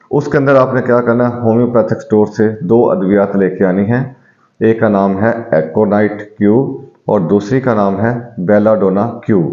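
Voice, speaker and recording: A man who is a homeopathic doctor, calmly explaining home eye remedies to his viewers and addressing them as friends. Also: fairly low pitch. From Pakistan. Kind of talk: health advice video to viewers. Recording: dull, echoey room recording.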